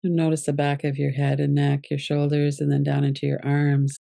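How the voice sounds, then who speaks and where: Mellow, creaky-voiced woman guiding relaxation. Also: deep voice, no echo, clean studio sound. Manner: calm, gentle, unhurried instruction. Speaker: yoga nidra teacher. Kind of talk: guided relaxation meditation.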